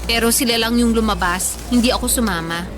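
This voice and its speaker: A woman's voice: fairly high pitch, fairly quick, natural voice. A woman playing a touchy, whiny thirty-year-old woman friend in Tagalog radio drama dialogue. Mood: defensive, insistent. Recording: music bed under the voice.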